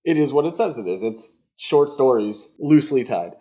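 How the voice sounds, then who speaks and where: A man's voice: medium pitch, expressive, normal speed, mellow. Analytical man podcasting, slightly dull audio. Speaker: podcast co-host. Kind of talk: conversational film discussion.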